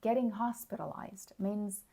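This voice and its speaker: A hoarse woman giving a slow, clear English lesson, explaining words plainly and checking in with the listener. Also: mid-range voice, fairly even intonation, mellow. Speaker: English teacher. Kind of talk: slow, clear teaching monologue.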